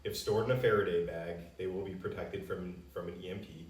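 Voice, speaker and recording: Company rep, male, American, low register, plain-spoken casual explainer, echoey distant pickup. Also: steady tone, brisk. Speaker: company representative answering customer questions. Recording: roomy echo, distant microphone.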